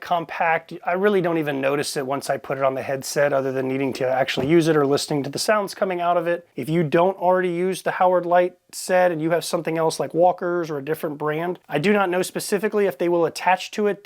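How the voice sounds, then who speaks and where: A man giving a casual, plain-spoken product review, explaining things informally as he goes. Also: fairly high pitch.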